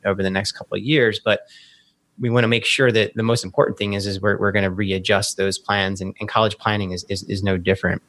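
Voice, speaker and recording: Low-voiced younger man, loose repetitive talk. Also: a bit bright, no echo. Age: young adult. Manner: loose, repeats words while building a point. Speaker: financial advisor. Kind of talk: podcast conversation.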